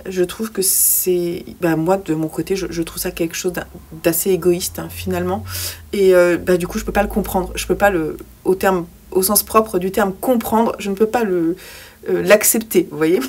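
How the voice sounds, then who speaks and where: A woman's voice: on the low side, steady tone, moderate pace, a little mellow. A young woman talking through a poetry book she read, hesitating often with fillers and restarts, and now and then reading passages aloud. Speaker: book vlogger. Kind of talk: casual book review with read-aloud poems.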